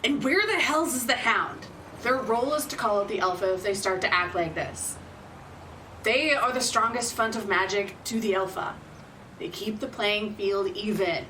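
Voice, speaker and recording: A woman's voice: medium pitch, moderate pace, sharp tone, close to an everyday voice. A woman playing a feisty, hot-tempered, sardonic ally in an audio drama, blunt and emphatic in her speech. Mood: angry, sardonic. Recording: distant from the mic, faint noise.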